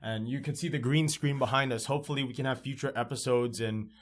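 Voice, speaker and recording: A man in his thirties hosts a relaxed podcast conversation. He talks in an upbeat, rambling way, often restarting his sentences. He is recording in a library studio. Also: medium pitch, fast talker. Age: 30s. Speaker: podcast host. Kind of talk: casual podcast chat.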